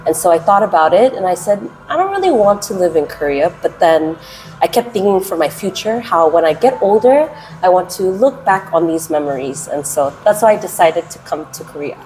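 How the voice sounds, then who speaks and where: A woman from the United States in her twenties, answering interview questions casually and with energy; she speaks with a naturally loud voice that she keeps held down. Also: lowish voice, soft background music, poor audio. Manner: animated, chatty, drawn-out words. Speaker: interviewee, English teacher.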